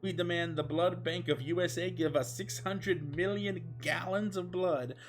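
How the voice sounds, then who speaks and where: A man voicing a menacing vampire gangster boss, with a forceful, drawn-out delivery. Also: high voice, fairly even intonation, pitched above the performer's own voice.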